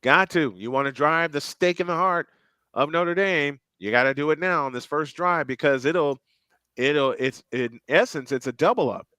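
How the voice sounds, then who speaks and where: A man calling a football game play by play, getting excitable and jumping up on big plays, and trading banter with co-hosts. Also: fairly high pitch, moderate pace, clean tone, dry recording. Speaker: play-by-play commentator. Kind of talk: live game commentary with banter.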